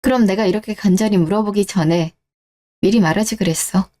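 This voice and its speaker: An earnest wife in a radio drama, played by a woman. She has a mellow, dark-toned voice at a middle pitch. She sounds sincere and wounded, pressing for answers. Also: fairly quick, natural voice. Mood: earnest, pleading. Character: married daughter, suspicious wife, earnest and hurt. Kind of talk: scripted radio-drama dialogue.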